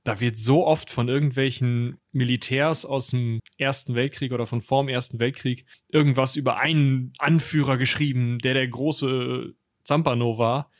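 A man's voice: medium pitch, fairly even intonation, moderate pace, no room sound. Man chatting casually, dry close sound. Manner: colloquial, slangy, jokey asides. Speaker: history podcast co-host. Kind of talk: casual conversational history discussion.

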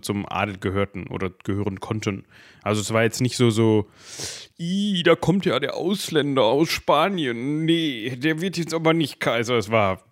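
A man with a fairly low voice talks as co-host of an informal history podcast. His delivery is conversational and colloquial, with wry understatement. The recording sounds dry and free of room echo. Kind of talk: casual conversational history discussion.

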